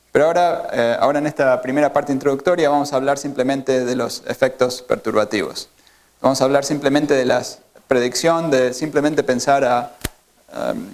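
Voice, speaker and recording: Man, argentine accent, middle-aged, lecture hall talk, halting with fillers, medium pitch. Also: a little mellow. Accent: Argentine Spanish. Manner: hesitant, many fillers and restarts. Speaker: guest lecturer. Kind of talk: academic colloquium talk. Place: university auditorium.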